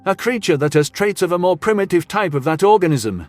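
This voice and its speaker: A man's voice: sits high, sing-song, a little fast, darkish tone, soft background music, voice pushed up. A man voicing a brash, indignant male commanding officer with blunt, slangy, sarcastic speech in a sci-fi audio story.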